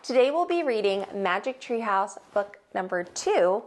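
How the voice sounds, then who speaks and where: A woman narrating a children's book as a teacher would, with expressive, dramatic storytelling. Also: mid-range voice, a little slow. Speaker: host, teacher reading aloud.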